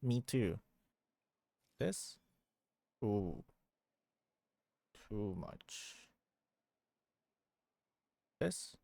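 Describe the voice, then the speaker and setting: A man's voice: fairly low pitch, sing-song, extremely slow, gravelly, long pauses, warm tone. A man livestreaming a video game with casual, wry, grumbling commentary.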